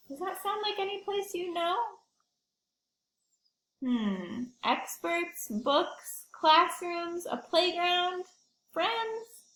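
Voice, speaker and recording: A woman's voice: very high voice, fairly bright tone, roomy sound, rough recording. A woman teacher reading aloud to young children, explaining simply and expressively and asking the listeners questions.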